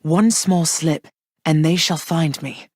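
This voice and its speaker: Regal underworld goddess mother, low-pitched, dry close sound, measured and earnest. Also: a little mellow, no echo. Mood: rueful. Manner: measured, earnest, formal phrasing. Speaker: voice actor. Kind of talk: game voice lines.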